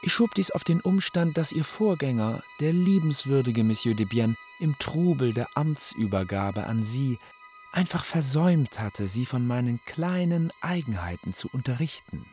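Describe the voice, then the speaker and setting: A man's voice: mid-range voice, lively intonation, darkish tone, lightly acted. An actor playing a courteous yet menacing male opera phantom, ceremonious and demanding, in for a radio play. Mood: coolly reproachful. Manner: ceremonious, deliberate. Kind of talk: German radio play, letter monologue. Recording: studio voice over music. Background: music.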